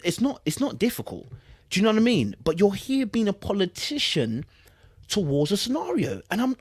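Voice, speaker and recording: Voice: mid-range voice, a little mellow, breathy edge, no room sound, clean studio sound. A podcast host with an urban London accent and slangy speech, commenting in an exasperated, incredulous way and repeating phrases for emphasis. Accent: British, urban London slang. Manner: informal, slangy, conversational. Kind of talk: animated commentary on a celebrity breakup statement.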